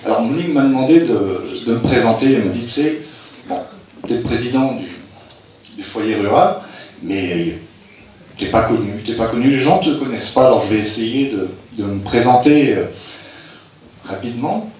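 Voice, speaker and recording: A man's voice: mid-range voice, animated, normal speed, pauses often, darkish tone, slight background noise. An older man from Brittany speaking informally to a live audience at a local fête, hesitant, with many restarts, chuckling at his own jokes. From Brittany, France. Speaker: president of a rural club, speaker at a local fête. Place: community hall at a local festival. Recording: echoey hall, voice from far off.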